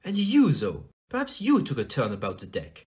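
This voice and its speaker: Man as fussy French-accented gentleman detective, audio play. Theatrical, courteous, wildly swinging intonation, slightly muffled recording. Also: mid-range voice, wildly swooping pitch, normal speed. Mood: measured, questioning. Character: fussy, theatrical middle-aged male French-speaking detective.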